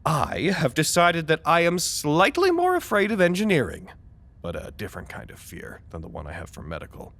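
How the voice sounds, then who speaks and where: An actor playing an anxious, weary site director in an audio drama, dictating reports in a wordy, formal, dryly exasperated manner. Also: male voice, on the high side, huge pitch swings, normal speed, a bit bright, full character voice. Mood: dry, resigned. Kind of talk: audio drama acting, dictated log monologue.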